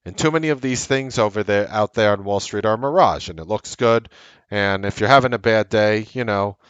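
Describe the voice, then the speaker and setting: A man of about forty, hosting a trading show and talking earnestly and emphatically, repeating his points like a pitch. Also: a little fast, fairly bright tone, clear, resonant tone, no echo. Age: late 30s. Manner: emphatic, repetitive, salesy and earnest. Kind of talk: direct-to-camera market commentary.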